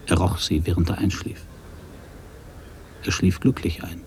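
A man narrating a literary radio drama from a script in a measured, sober manner. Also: low voice, flat delivery, a little mellow, a little hiss or hum.

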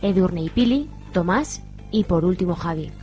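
A woman's voice: on the low side, lively intonation, normal speed. A woman narrator reading a scripted radio soap opera story. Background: soft music.

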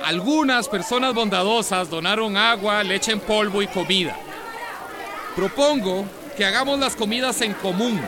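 A man plays a take-charge organiser in a radio soap. His voice is high for a man, addressing a group with clear crowd murmur behind. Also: normal speed, close to an everyday voice. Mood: rallying. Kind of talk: radio soap opera acting.

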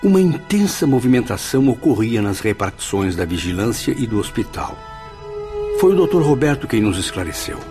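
A man's voice: medium pitch, big pitch swings, normal speed, over music, natural voice. A man acting as a solemn spirit who narrates a radio drama in the first person. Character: solemn male spirit patient recounting his story.

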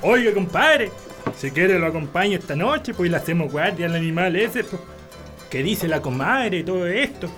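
Loyal country compadre, rural Chilean accent, high voice, folksy, exclamatory, radio drama, music faintly behind. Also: male voice, lively intonation, normal speed, fairly bright tone. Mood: loyal, protective. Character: loyal, rowdy country drinking buddy, protective godfather type.

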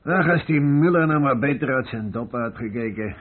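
Tinny radio, deferential police sergeant. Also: male voice, mid-range voice, lively intonation, normal speed, husky. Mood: inquisitive. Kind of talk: Dutch radio play acting. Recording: tinny, narrow-band old radio recording.

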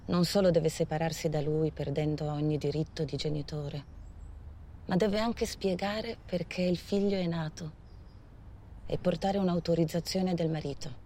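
A woman narrating a historical documentary in a measured, solemn manner. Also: low-pitched, steady tone, mellow, slight background noise, lightly acted. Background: music.

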